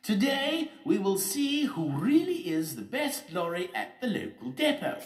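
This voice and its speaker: Man putting on a high, grand announcing mayor. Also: sing-song, reverberant. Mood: ceremonious. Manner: loud, measured public proclamation. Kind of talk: children's story character voice.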